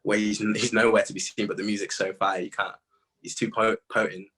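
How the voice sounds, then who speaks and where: A man's voice: lowish voice, little pitch movement, a little fast, bright, forceful tone, breathy edge. A young man with a British accent, chatting casually as a musician being interviewed, laid-back and full of slang.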